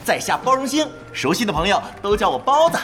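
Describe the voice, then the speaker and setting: A goofy, earnest young tough in an audio drama. He is boisterous and naive, his lively pitch jumping around, with music playing behind him. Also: a little fast. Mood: friendly, eager.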